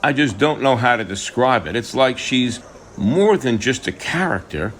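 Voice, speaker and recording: A man performs a middle-aged writer in a radio play, frustrated and worn down by disappointment. He confides haltingly. His mid-range voice rises and falls freely. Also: mid-range voice, animated, fairly bright tone, slight background noise, lightly acted. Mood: earnest, searching.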